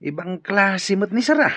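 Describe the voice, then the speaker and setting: A man's voice: high-pitched, bright, forceful tone, no echo, full character voice. An actor in an Ilocano radio drama playing an amiable, easygoing man at the office. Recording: dull lo-fi audio.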